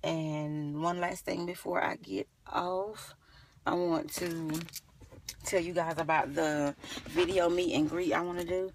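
A young woman with a creaky, fry-laden voice chats informally to her phone in a car. She rambles and thinks aloud in a casual vlog style, and the phone picks her up from a little distance. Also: on the low side, fairly even intonation, a little slow, a little hiss or hum. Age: young adult. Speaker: beauty vlogger. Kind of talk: casual chat to camera. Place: inside a parked car. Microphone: phone at arm's length. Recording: slightly distant phone recording.

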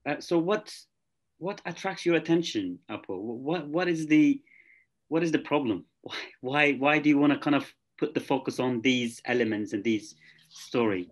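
A man's voice: medium pitch, normal speed. A man moderating a film festival Q&A over a video call, asking questions with frequent restarts and fillers. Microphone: video call laptop mic.

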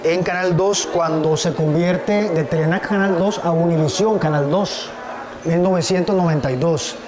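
A man's voice: a little high, normal speed, a crowd in the background. A man hosting a TV studio interview, asking questions conversationally on a lapel mic. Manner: conversational, prompting with dates and facts. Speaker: TV show host. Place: TV studio with stone backdrop.

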